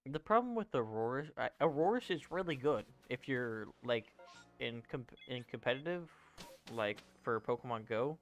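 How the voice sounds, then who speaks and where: A man's voice: medium pitch, animated, moderate pace. 20 yr old guy rambling on his game stream, talking to chat, faint music behind. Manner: rambling, self-correcting, answering chat. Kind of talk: casual gaming livestream chat.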